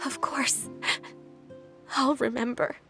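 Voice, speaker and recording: An actress playing a timid, remorseful young girl in a video game, speaking in a hesitant, emotional, halting way. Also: high voice, fairly even intonation, vocal fry, sharp tone, exaggerated voice. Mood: subdued. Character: timid, remorseful young girl leaving her feared guardian. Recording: music behind the voice.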